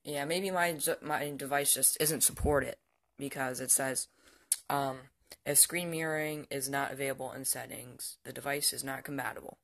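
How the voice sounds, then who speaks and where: Young dude just rambling through a home video, kinda unsure, trails off a lot, mid pitched voice. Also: male voice, fairly even intonation, normal speed, vocal fry, fairly bright tone. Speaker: amateur vlogger. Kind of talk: casual unscripted show-and-tell. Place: indoors at home, by the TV.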